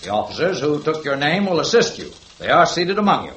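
A businesslike police sergeant in a 1950s radio crime show. His fairly high voice issues formal, procedural instructions. It rings with heavy room echo over the faint hiss of an old recording. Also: very animated, fairly quick, fairly bright tone. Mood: official, brisk. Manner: formal, procedural, crisp commands. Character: businesslike police sergeant announcing a suspect lineup. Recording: roomy, slightly hissy old radio recording.

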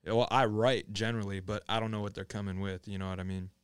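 Young male producer, relaxed slangy chatter, dry close sound. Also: fairly low pitch, quick pace, clear, resonant tone, no room sound. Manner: laid-back, slangy, says dude and bro a lot. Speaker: music producer and engineer. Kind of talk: casual podcast chat.

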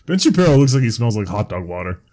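A man's voice: mid-range voice, sing-song, quick pace, mellow. A man co-hosting a comedy podcast, throwing in sarcastic quips and jokes. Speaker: podcast co-host. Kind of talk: joking banter.

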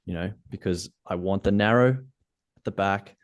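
An Australian man with a notably low, deep voice. He talks in an easygoing, considered way, working through technical details in casual conversation. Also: measured pace. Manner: relaxed, thoughtful, technical about gear. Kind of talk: casual interview chat.

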